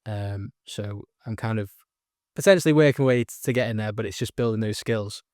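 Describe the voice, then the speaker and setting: A man from the north of England asks questions in a somewhat low voice. He talks casually, with lots of fillers and restarts. The recording is dry and close. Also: a little fast, a little mellow, no room sound. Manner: casual, hesitant, with many fillers. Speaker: interviewer, host. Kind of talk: interview questions.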